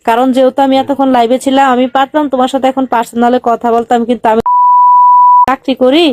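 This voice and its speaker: A woman's voice: sits high, flat delivery, fast, a little mellow, dry recording. A young woman chatting casually and insistently on a livestreamed video call.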